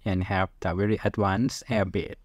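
A middle-aged man speaks English with a Thai accent in a low voice. He gives casual interview answers, pausing and searching for his words. The sound is dry and close. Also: steady tone, no room sound. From Thailand. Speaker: podcast guest, film director.

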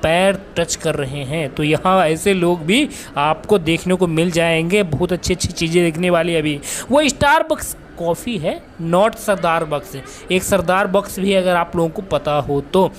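A man's voice: a little high, unhurried, few pauses. A man giving a chatty walking-tour narration as a travel vlogger, often rephrasing himself as he goes.

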